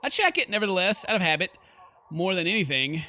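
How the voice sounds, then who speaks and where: A man with a fairly high, very bright voice hosts a horror game playthrough. He narrates the on-screen story dramatically and breaks into exclamatory commentary of his own. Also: big pitch swings, quick pace. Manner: theatrical, exclamatory when reacting. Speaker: gaming video host. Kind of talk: horror game let's play, reading the story aloud with reactions.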